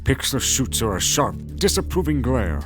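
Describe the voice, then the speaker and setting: A man's voice: medium pitch, sing-song, moderate pace. A man narrating an audio drama in a scripted, dramatic storytelling style. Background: music.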